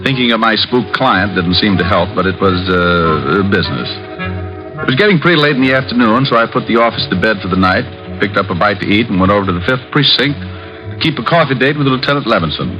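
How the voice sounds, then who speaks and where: Man acting a low-voiced wisecracking American private eye. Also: expressive, a little fast, close to an everyday voice. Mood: wry narration. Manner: breezy, sardonic, slangy. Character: wisecracking, flirtatious hardboiled male private detective. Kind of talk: 1940s-50s radio drama acting with first-person narration. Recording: muffled old radio recording with music.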